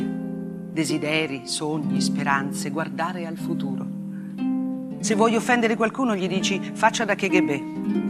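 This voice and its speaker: An actress playing a grave, unflinching woman war reporter in a theatrical monologue, speaking in short, deliberate phrases with dramatic pauses. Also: lowish voice. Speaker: stage actress. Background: plucked guitar-like music.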